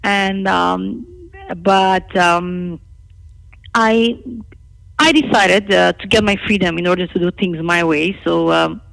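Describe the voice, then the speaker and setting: A middle-aged woman from Lebanon, a native Armenian speaker, talking candidly and emphatically about her own life as an interview guest, with a creaky voice. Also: fairly low pitch, fairly even intonation, takes it slowly, a bit bright, low-quality recording. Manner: outspoken, emphatic.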